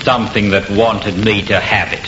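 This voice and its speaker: An actor playing a sarcastic, skeptical friend with a brusque, scoffing manner in 1930s radio drama style. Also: male voice, lowish voice, steady tone, moderate pace, music in the background, lightly acted. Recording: muffled, echoey, slightly noisy old radio.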